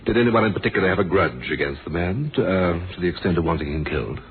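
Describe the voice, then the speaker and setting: On a narrow, faintly noisy old radio track, a shrewd police inspector. His low voice moves expressively as he probes politely, pausing to think. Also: expressive. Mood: probing. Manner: courteous, probing, thoughtful hesitations. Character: measured, shrewd police inspector questioning suspects. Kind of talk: 1970s radio drama acting.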